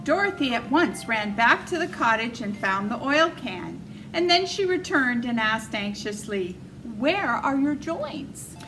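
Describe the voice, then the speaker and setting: A woman's voice: a little high, sing-song, measured pace, pushed and bright, soft background music, low-quality recording. A woman narrating a children's story in a read-aloud style. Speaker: storybook reader.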